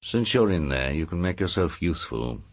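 An actor playing a commanding aristocratic uncle, curt and coolly domineering in an audiobook drama. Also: male voice, sits low, very animated, normal speed. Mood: low, threatening. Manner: curt, imperious, clipped commands.

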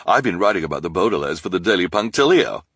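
A man voicing an eager, gum-chewing newspaper reporter woman who gushes in slow, drawn-out lines. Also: deep voice, big pitch swings, a bit bright, slightly rough, no room sound, clean studio sound, heavily acted. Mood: gushing. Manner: gushing, drawn-out.

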